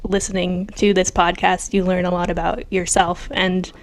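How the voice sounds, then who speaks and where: A young woman from Los Angeles, an audio editor guest-hosting a podcast, talking casually and softly with small laughs. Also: fairly low pitch, level tone, normal speed. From Los Angeles, United States.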